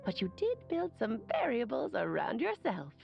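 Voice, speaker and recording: A woman's voice: fairly high pitch, wildly swooping pitch, normal speed, creaky, muffled recording, exaggerated voice. A woman playing a clever, worldly sorceress in a video game, explaining things in an articulate, theatrical way. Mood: knowing, explanatory. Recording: thin audio with loud background music.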